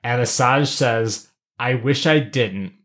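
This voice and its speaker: A man with a mid-pitched voice co-hosts a casual recap podcast. He tells the story in animated, slangy language and slips into paraphrased lines of dialogue. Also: takes it slowly. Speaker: podcast co-host.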